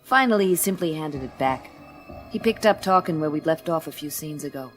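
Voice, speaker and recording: A woman's voice: sits low, animated, fairly quick. A woman playing a tough, sardonic female private eye in a noir radio drama, speaking in a dry, unimpressed, hardboiled way. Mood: cool, wry. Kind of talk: first-person noir radio drama narration and dialogue. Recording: music under the voice. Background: music.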